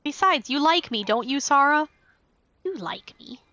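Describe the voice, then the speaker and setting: A woman's voice: high voice, big pitch swings, a little slow, bright, forceful tone, lo-fi sound. A woman chatting casually and playfully as she commentates on a live game stream.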